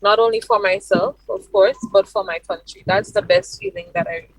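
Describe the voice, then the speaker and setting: Grenadian female interview guest, effusive, highly animated intonation, close dry sound. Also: moderate pace, gravelly, dry recording, poor audio. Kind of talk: enthusiastic interview answers.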